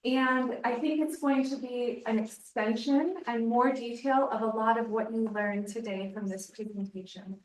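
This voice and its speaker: A woman's voice: fairly high pitch, steady tone, normal speed, few pauses. Hesitant woman, far off in echo. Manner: hesitant, many ums. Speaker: social worker, care manager. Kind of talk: announcement. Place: seminar room. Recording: roomy, from far off the mic.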